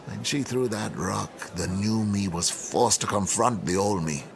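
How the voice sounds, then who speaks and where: An actor playing a reflective older academic mentor in a radio drama, eloquent, measured and wry. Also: male voice, on the low side, creaky, background noise. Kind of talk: naturalistic radio drama dialogue.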